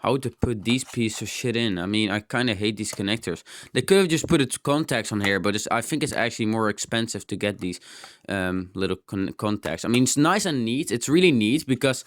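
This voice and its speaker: A man's voice: lowish voice, lively intonation, brisk, slight background noise. A man giving a casual, unscripted hands-on gadget demo, rambling and thinking aloud with some mild swearing. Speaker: host of a tech teardown channel.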